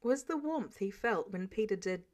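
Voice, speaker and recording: A woman reading scripted fiction aloud as an expressive storyteller. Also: medium pitch, moderate pace. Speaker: audiobook reader of fan fiction.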